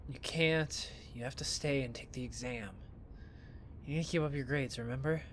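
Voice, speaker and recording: A man playing a flippant but caring young student in an audio drama, talking in a casual, sardonic, expressive way. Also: medium pitch, normal speed, slight background noise, natural voice. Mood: weary.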